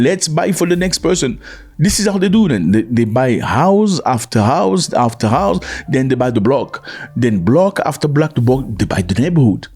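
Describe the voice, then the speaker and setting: A man with a fairly high, husky voice talks in an emphatic commentary, his pitch swinging widely as he presses his point. He repeats himself for effect and slips into the voices of people he quotes. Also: darkish tone. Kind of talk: animated commentary monologue.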